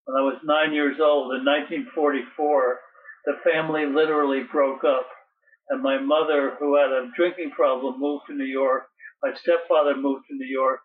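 A man in his eighties from Connecticut, an interview guest who speaks haltingly and repeats his words. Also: medium pitch, steady tone, slight background noise. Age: 80s. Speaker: poet and guest. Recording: distant tinny phone line.

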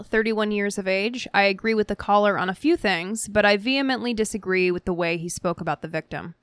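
Smooth-voiced woman podcast host, dry close sound. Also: mid-range voice, a bit bright, no room sound. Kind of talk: reading listener emails aloud.

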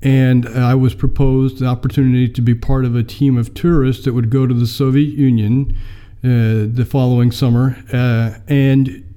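An American man in his fifties, telling how he came to mission work in a measured, filler-laden interview. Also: medium pitch, level tone, mellow, clean tone. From United States. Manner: measured storytelling with many fillers. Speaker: mission mobilizer, guest. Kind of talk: personal testimony in an interview.

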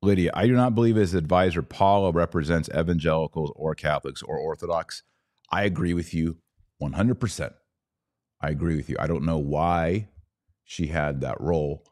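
A man with an exceptionally deep voice hosts a casual live podcast. He talks conversationally, reading comments from viewers and answering with his own commentary. The sound is dry, with little room around the voice. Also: a little mellow, dry recording. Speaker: podcast host.

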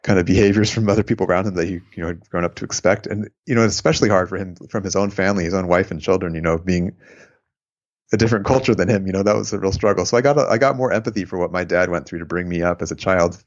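An American man recalling his time abroad in an interview, reflective and unhurried, with frequent fillers. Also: low-pitched, muffled recording. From United States. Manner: reflective, unhurried, many fillers, laughs at own stories. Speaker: interviewee, former volunteer abroad. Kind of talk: oral history interview.

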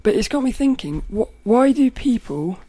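A woman with a rough, cracking voice, hosting a podcast and thinking aloud in a rambling way, often laughing. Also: on the high side, sing-song, moderate pace, mellow, dry recording. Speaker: podcast host. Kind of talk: casual reflective monologue.

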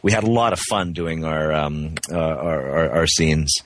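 Brief: deep-voiced man guest on a call, friendly, halting speech, dry sound. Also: steady tone, no echo. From Canada, West Coast. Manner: halting, many restarts, friendly. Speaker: actor, interview guest. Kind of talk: casual interview over the phone. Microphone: remote call.

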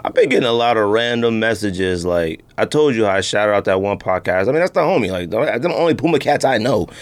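Animated man with a low, swinging voice. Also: fairly quick. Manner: slangy, animated storytelling. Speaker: podcast co-host. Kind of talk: casual banter about sneakers.

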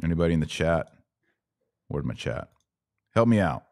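A man's voice: rumbling low, lively intonation, moderate pace, a little mellow, no echo, studio quality. A man with an American accent giving live podcast commentary in a conversational way, posing pointed rhetorical questions. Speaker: podcast host.

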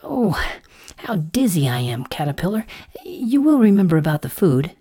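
Frail storybook mother butterfly , acted by a woman: pleading, sighing delivery, raised and swooping pitch. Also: big pitch swings, moderate pace, warm tone. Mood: faint, dizzy. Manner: plaintive, faint, sighing. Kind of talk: storybook character voice.

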